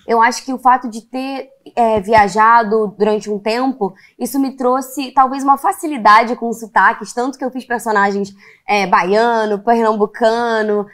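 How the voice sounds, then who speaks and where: A woman with a Carioca accent, answering interview questions as an actress, thoughtful and pausing with "é". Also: a little high, fairly even intonation, fairly bright tone. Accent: Carioca Brazilian Portuguese. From Rio de Janeiro, Brazil. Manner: thoughtful, hesitant restarts with "é". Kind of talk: casual interview chat.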